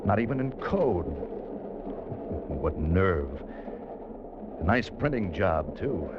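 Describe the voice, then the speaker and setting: Hard-boiled male adventurer-narrator, low and clipped, vintage radio drama sound, dull and music-backed. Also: big pitch swings, drawn-out words, heavy background noise, exaggerated voice. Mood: wry, tough. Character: hard-boiled, wisecracking male adventurer telling his own story. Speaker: actor narrating as the hero. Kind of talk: 1940s-50s radio drama acting. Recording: muffled old radio recording, loud music.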